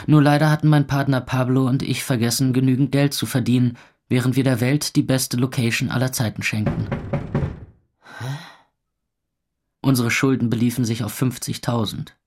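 An actor playing a weary, sardonic young club owner, narrating his own life in a deadpan way for a radio play. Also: mid-range voice, close to an everyday voice. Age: young adult. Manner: deadpan, dry.

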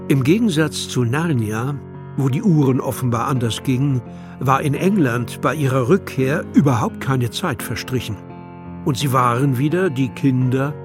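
A man narrates a children's audio drama in a measured storybook way. His voice sits at a middle pitch, with music clearly playing behind him.

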